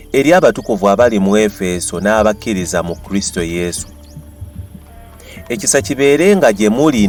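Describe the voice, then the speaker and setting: The low Ugandan male voice of an audio Bible, reading out a chapter over faint background music. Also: darkish tone. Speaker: Bible narrator. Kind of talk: scripted scripture reading. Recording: music behind the voice.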